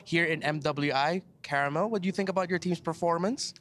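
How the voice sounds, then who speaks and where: A man's voice: fairly high pitch, brisk, fairly bright tone, slight background noise. A man interviewing an esports player, upbeat and recapping her answers.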